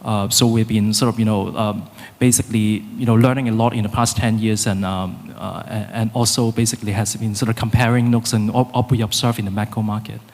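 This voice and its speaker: Halting man. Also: lowish voice, flat delivery, darkish tone. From Hong Kong. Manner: halting, many filler pauses. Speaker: conference panelist, fund managing director. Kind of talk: panel self-introduction. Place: conference hall. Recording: long reverb of a conference hall.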